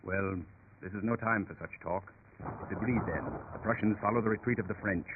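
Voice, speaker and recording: An actor playing an earnest, polite, ambitious English military man in a formal, measured 1930s radio style. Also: lowish voice, lightly acted. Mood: reflective. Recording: tinny, faintly noisy 1930s radio recording.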